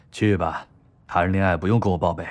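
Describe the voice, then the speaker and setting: A man as a level-voiced, patient uncle. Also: sits low. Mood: calm, advising. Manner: measured, advising, unhurried. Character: calm, patient, authoritative uncle and company boss. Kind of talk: modern audio drama acting.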